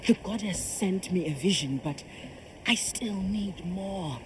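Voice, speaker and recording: A woman's voice: medium pitch, takes it slowly, background noise, close to an everyday voice. A woman playing a proud, commanding and devout kraken priestess in a video game, solemn and declamatory. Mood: troubled. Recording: music behind the voice.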